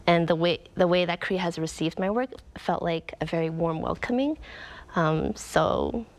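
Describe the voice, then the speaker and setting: Young canadian woman, lowish voice, talks thoughtful and earnest, lots of um and like. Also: normal speed. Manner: earnest, reflective, frequent "um" and "like". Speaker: author guest. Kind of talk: conversational interview answers.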